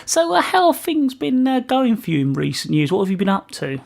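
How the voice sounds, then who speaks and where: A man hosting an informal community radio interview, asking questions in a hesitant, halting way. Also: very high voice, huge pitch swings, creaky.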